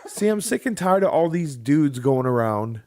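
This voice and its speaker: A man's voice: on the high side, big pitch swings, moderate pace, darkish tone, dry recording. Sarcastic man reading news on a podcast. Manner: reads news aloud, joking and sarcastic. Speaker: podcast co-host. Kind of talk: casual podcast chat.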